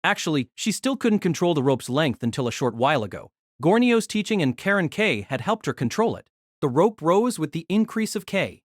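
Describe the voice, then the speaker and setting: A woman's voice: low voice, very animated, a little fast, dry recording, studio quality. A woman narrating a novel in a scripted, dramatic storytelling style.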